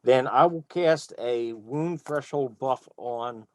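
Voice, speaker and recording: A man's voice: medium pitch, takes it slowly. A man playing a tabletop game, talking casually and hesitantly as he thinks his move through aloud. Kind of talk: casual, deliberating game talk.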